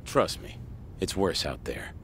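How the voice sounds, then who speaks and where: An actor playing a terse, determined survivor of a zombie apocalypse, gravelly, curt and commanding, in a video game. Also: male voice, sits low, very animated, a little slow, a bit bright, slight background noise, exaggerated voice.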